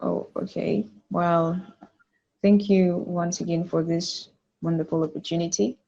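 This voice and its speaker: A woman's voice: on the low side, steady tone, a little slow, creaky, warm tone, highs cut off. A woman in her twenties with a Cameroonian accent, casually answering interview questions as a podcast guest. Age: 20s.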